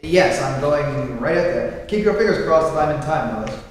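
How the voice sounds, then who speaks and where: A man's voice: a little high, lively intonation, normal speed, natural voice. A man playing Clark Kent, an earnest, nervous reporter who turns bold hero, in theatrical vintage radio style. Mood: urgent. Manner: urgent, stammering, theatrical. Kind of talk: 1940s radio acting re-enacted on stage. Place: live theatre stage. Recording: echoey hall, noisy amateur stage recording.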